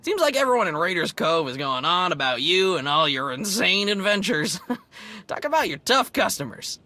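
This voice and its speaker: A woman voicing an excitable, chatty alien local in a video game, gushing and informal, with a husky edge. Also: on the low side, normal speed, no echo. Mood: teasing, delighted. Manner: gushing, breezy, informal slang. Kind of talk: video game cutscene acting.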